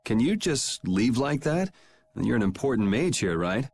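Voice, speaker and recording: A man's voice: medium pitch, big pitch swings, measured pace, a little mellow. A man voicing a cool, sardonic young swordsman in a video game, laid-back, wry and teasing. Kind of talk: English video game dub acting.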